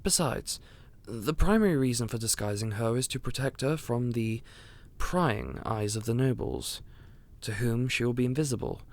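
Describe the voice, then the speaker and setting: A man playing a devoted, courteous royal steward in a fantasy audio roleplay, speaking formally, politely and in a measured way. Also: medium pitch, lightly acted. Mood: courteous, assured.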